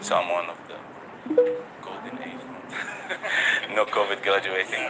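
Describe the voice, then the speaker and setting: A man's voice: sits low, sing-song, unhurried, vocal fry, loud music behind the voice, low-quality recording. A man in his late twenties from Saudi Arabia answering interview questions in a good-humored way. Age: 20s. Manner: good-humored, laughs between phrases. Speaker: interview guest, graduate.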